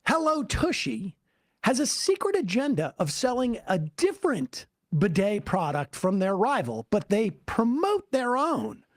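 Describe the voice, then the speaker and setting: A commentary host who keeps pausing a played clip to make sarcastic points. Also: mid-range voice, dry recording, studio quality. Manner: interrupts to pause the clip, sarcastic.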